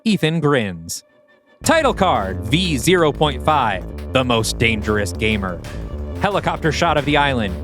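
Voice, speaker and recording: A man's voice: medium pitch, sing-song, a little slow, a bit bright, faint music behind. A man hosting a podcast, reading scripted scene directions as the narrator of an audio play.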